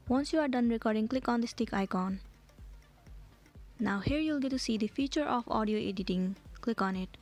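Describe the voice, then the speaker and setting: Fast-talking woman narrating a how-to. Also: on the high side, darkish tone. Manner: instructional, step-by-step. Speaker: tutorial host. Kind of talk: scripted how-to tutorial narration.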